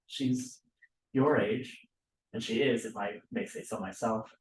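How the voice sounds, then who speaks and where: A man's voice: mid-range voice, steady tone, measured pace, darkish tone, natural voice. A man acting an affable middle-aged documentary maker in a script read-through, hesitant and self-deprecating. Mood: sheepish, joking. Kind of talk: table read of a play script. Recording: echoey room.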